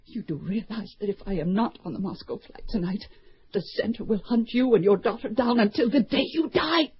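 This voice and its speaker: An actress playing a cool, ruthless young female Soviet spy in a radio drama, with a breathy voice. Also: mid-range voice, fairly quick. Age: young adult. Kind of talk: 1970s radio drama acting. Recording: dull lo-fi old radio recording.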